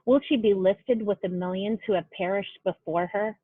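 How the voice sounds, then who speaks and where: A woman's voice: medium pitch, steady tone, normal speed, dry recording. A woman actor reading a written memorial tribute in a solemn style, heard through a tinny, band-limited connection.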